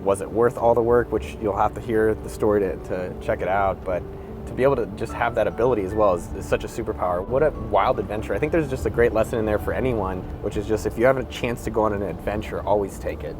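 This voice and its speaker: A man's voice: lowish voice, quick pace, music in the background. A man hosting a vlog, chatting and asking questions in an informal, slangy way. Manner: informal, slangy, bro-ish. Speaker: host interviewer. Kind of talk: casual vlog chat.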